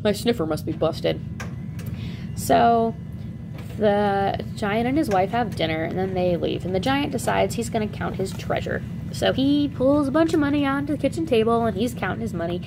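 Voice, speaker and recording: A woman's voice: on the high side, animated, normal speed, gravelly, background noise. A woman storyteller telling a fairy tale in a casual, improvised, conversational way with wry asides.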